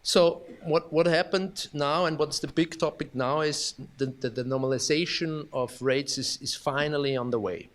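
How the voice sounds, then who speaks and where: Austrian-accented man giving a conference keynote. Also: fairly high pitch. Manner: halting, many restarts and fillers, self-deprecating humor. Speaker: keynote speaker. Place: conference hall.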